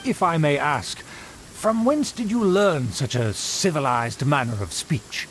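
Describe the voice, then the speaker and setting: A man's voice: fairly high pitch, expressive, slow pace, a little hiss or hum. A pompous, haughty nobleman. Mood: approving. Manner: pompous, formal and condescending. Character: pompous haughty male aristocrat, condescending. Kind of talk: video game voice acting.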